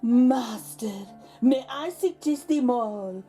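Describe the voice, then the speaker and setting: A woman's voice: a little high, takes it slowly, mellow, soft background music. A woman voicing a dutiful, deferential servant who cautions a monstrous master in a children's picture book. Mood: earnest, cautionary.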